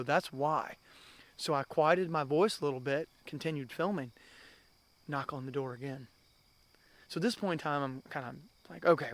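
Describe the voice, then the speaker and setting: A man with young children telling ghost stories to camera in a casual, rambling way, often repeating himself and calling viewers guys, a loud talker by his own account. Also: medium pitch. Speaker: channel host.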